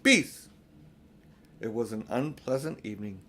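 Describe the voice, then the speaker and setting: A man's voice: medium pitch, lively intonation, normal speed, a little hiss or hum. A man narrating a children's storybook aloud as an audiobook reader.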